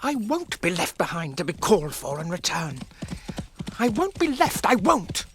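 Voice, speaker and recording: An actor playing a small, loyal, eager young male hobbit in a radio drama, earnest, polite and halting. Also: high voice, very animated, noisy background. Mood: pleading, defiant.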